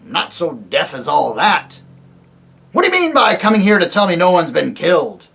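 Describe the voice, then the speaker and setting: A reader voicing a very old, deaf and irritable male dwarf who talks loudly and mishears words, in a storybook reading. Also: medium pitch, sing-song, moderate pace, gravelly, voice pushed up. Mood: testy, loud. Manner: loud, testy, mishears words.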